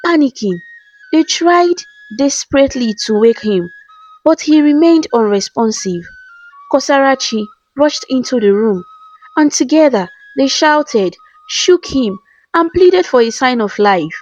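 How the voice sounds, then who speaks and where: A woman's voice: a little high, expressive, measured pace. A woman storyteller reading a scripted folktale in a dramatic, formal manner. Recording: slightly dull lo-fi voice-over. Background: soft background music with flute.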